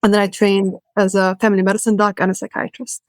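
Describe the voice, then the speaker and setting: Even-toned woman explaining herself. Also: mid-range voice, fast talker, dry recording, studio quality. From Israel. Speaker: psychiatrist guest. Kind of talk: casual interview answers.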